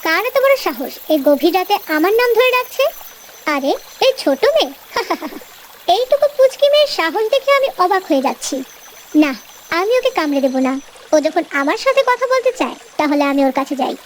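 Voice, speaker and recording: A woman doing a deep menacing cartoon snake. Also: very animated, moderate pace, a little hiss or hum. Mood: scornful. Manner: growling, taunting, threatening. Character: menacing giant invisible snake, grudge-bearing. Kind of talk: cartoon voice acting.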